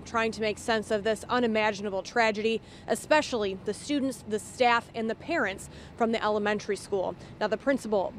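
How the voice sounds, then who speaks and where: An American woman gives a live TV news report in a fairly high voice. She is outdoors, with faint traffic and street ambience behind her.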